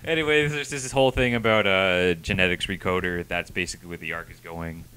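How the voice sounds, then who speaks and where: Low-voiced man in casual, wry banter. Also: steady tone, fast, sharp tone, slight background noise. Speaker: co-host of a comic review show. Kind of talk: casual banter review chat.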